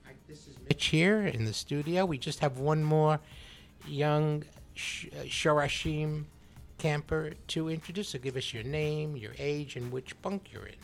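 A man's voice: fairly high pitch, unhurried, vocal fry, faint music behind. A young man hosting a camp radio show, prompting guests to introduce themselves. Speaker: student radio host. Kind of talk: casual chat.

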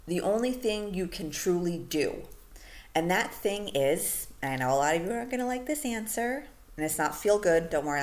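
A woman hosting a solo podcast in a casual, chatty way, full of "you know" and "like", while she is losing her voice. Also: on the low side. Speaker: podcast host.

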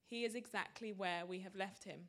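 A woman reading a short quote aloud to a church congregation in a steady, even way. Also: mid-range voice, brisk.

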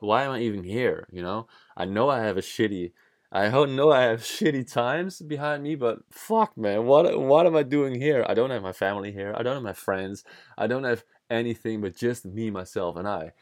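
A man's voice: medium pitch, a little mellow, no echo, studio quality. A young man with a Dutch accent telling a candid, casual story on his own podcast, swearing now and then and restarting sentences. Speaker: podcast host.

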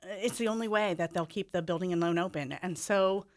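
A woman's voice: fairly low pitch, fairly quick. American woman explaining in a studio interview. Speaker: stage director, interview guest. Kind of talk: conversational storytelling. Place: radio studio.

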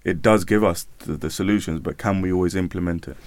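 Very deep-voiced man explaining earnestly. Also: quick pace, a little mellow, no echo. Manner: earnest, explanatory, many fillers. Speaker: radio show co-host. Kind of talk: casual panel discussion.

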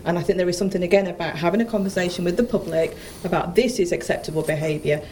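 Panel speaker, woman, low mellow voice, measured delivery, slight background hiss. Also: low-quality recording. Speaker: public member of a health assembly. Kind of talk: panel discussion.

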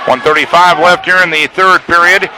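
A man giving live radio play-by-play commentary from a school gymnasium, with crisp factual updates on the clock and score. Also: high-pitched, steady tone, fairly quick. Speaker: play-by-play sports announcer. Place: high school gymnasium during a live meet. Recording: very noisy live gymnasium crowd recording. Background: loud crowd and gym noise.

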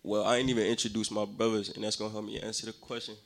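A man's voice: fairly low pitch, level tone, racing. A young man from Nigeria, a rapper guest talking casually and cockily in an interview, full of slang. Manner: cocky, slangy, laughs easily.

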